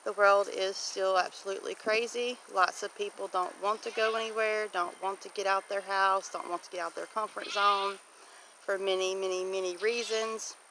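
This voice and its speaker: A woman's voice: medium pitch, steady tone, moderate pace, sharp tone, poor audio. A woman with a Southern US accent chatting in a folksy, casual way as she records a walk-and-talk homestead vlog outdoors. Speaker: homestead vlogger. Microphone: camera microphone. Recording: breezy outdoor wind and ambient noise.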